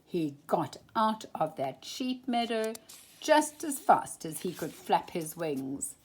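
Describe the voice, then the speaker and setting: A woman storyteller reading a children's storybook aloud, expressive and emphatic, drawing out key words. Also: lowish voice, slow pace.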